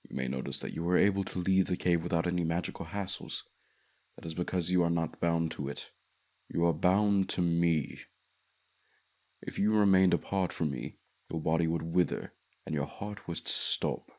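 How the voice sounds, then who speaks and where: A man's voice: low-pitched, moderate pace, darkish tone. A man voicing a haughty, commanding dragon in a fantasy ASMR roleplay, speaking formally with pompous condescension. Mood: stern, commanding. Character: haughty male dragon, commanding keeper of a human pet.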